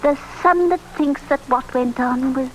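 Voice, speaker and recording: An actress playing a gossipy, ominous village innkeeper in an old radio drama, with a folksy, insinuating manner. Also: female voice, high voice, measured pace. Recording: noisy vintage radio recording.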